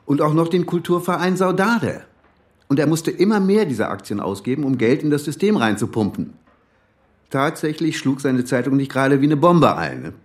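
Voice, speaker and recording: A man's voice: a little high, expressive, moderate pace, lightly acted. A male actor playing a blunt, folksy older police chief in a radio crime drama, talking colloquially and bossily. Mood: explaining. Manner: colloquial, bossy, chummy. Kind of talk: naturalistic German radio crime drama acting.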